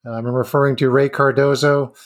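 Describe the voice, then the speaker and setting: A man's voice: medium pitch, little pitch movement, brisk. Male host, casual interviewer who wanders through his questions. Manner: loose, rambling phrasing. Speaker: podcast host. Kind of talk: conversational interview.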